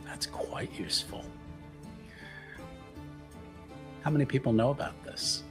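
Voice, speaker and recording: A man's voice: mid-range voice, fairly quick, music in the background, voice pushed up, lightly acted. A man playing a discreet, shrewd investigator who speaks in a hesitant, musing, formal way. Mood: intrigued.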